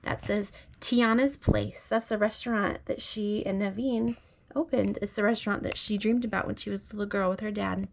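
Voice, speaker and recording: A woman speaking gently, as a parent does to a small child at bedtime. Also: medium pitch, fairly even intonation, normal speed, vocal fry. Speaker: parent reading aloud. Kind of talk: bedtime storybook read-aloud. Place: bedroom at home.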